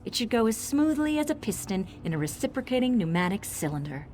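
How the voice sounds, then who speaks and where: A synthetic woman's voice playing a brisk, self-assured engineer in a fantasy video game, speaking with precise, technical confidence. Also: fairly high pitch, sing-song, darkish tone, slight background noise. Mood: confident, explanatory.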